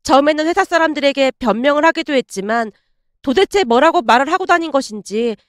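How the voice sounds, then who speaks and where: A woman's voice: high-pitched, lively intonation, fairly quick, no echo, clean studio sound. A woman reading a scripted first-person dramatic story as its narrator.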